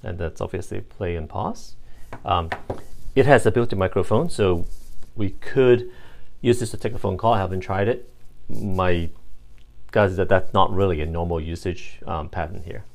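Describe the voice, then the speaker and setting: Male reviewer, lower-pitched, casual and unscripted, halting as he thinks aloud. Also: vocal fry, slight background noise. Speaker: product reviewer. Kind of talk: casual hands-on demonstration, unscripted.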